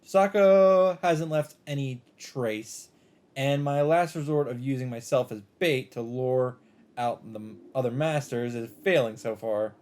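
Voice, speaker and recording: A man reading a visual novel script aloud in an expressive narrating style. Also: mid-range voice, measured pace. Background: game music.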